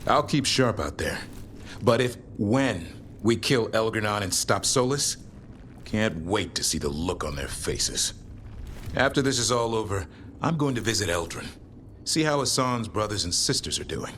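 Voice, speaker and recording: A caring, confident fantasy game warrior, plain and clipped in speech. His voice sits lowish, with clear ambience behind it. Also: expressive, normal speed, a bit bright, a little hiss or hum. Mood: fond. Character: gruff-but-caring male warrior comrade, confident hunter. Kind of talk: acted game dialogue.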